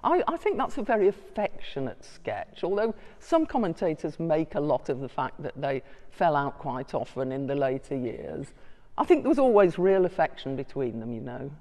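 A woman's voice: sits low, very animated, darkish tone. Older woman lecturing in a church. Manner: scholarly, measured, with small hesitations and asides. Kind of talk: public talk reading from notes. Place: large church interior. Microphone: distant room mic. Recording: long church reverb.

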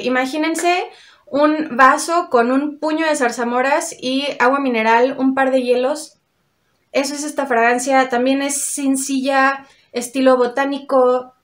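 Young woman, high voice, chatty, expressive, small bedroom, camera at desk distance. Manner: chatty, expressive, uses diminutives. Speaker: beauty vlogger. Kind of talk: casual product review. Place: small bedroom with vanity mirrors, indoors.

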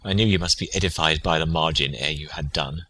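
An actor playing a prince's loyal, sensible friend in a Shakespearean audio drama, plain and dryly observant. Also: male voice, a bass voice, fairly quick, pushed and bright, no echo, exaggerated voice. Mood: wry.

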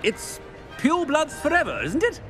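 An actor playing a pompous, imperious middle-aged headmaster in a video game, with a haughty, commanding manner. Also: male voice, extremely high pitch, huge pitch swings, moderate pace, fairly bright tone, soft background music, full character voice. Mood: blustering. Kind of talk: video game character acting.

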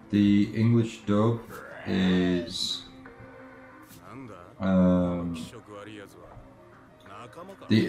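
Low-voiced man rambling over a game. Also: a little mellow. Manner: halting, rambling, often trailing off and restarting. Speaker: let's play streamer. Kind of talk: casual live game commentary and chat with viewers. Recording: roomy echo, game music behind. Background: video game music.

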